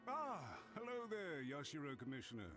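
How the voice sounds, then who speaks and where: A man's voice: a little high, very animated, moderate pace, sharp tone, soft background music. An actor playing a deferential clan retainer in a video game, formal and effusive in his welcome. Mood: welcoming. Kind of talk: video game character acting.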